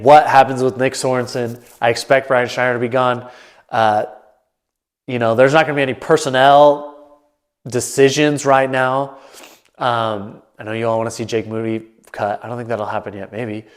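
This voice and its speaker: Congested man rambling through sports takes. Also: mid-range voice. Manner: rambling, restarts and thinks aloud. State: congested, nasal. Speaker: sports talk host. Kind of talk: casual solo commentary to camera. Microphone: camera mic, solo setup.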